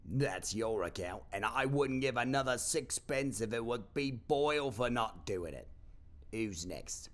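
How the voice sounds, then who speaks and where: An actor playing a sly, greedy old rag-and-bone shopkeeper in an audio play, haggling in a wheedling, mock-generous way. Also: male voice, mid-range voice, animated, a little slow, natural voice. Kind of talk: audio play character acting.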